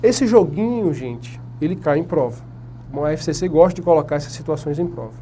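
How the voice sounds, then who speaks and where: A man's voice: on the high side, lively intonation, brisk, warm tone, a little hiss or hum. A man with a Brazilian Portuguese accent giving an exam-prep lecture at a whiteboard. He asks the class questions and answers them himself. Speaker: teacher.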